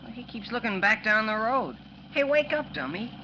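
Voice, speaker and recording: An old, noisy radio recording with a car drone carries an eager teenage boy. His pitch swoops about as he rattles off boyish slang in lively 1940s radio style. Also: moderate pace, dull audio, exaggerated voice. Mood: teasing. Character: excitable, slangy teenage boy, a young cub reporter.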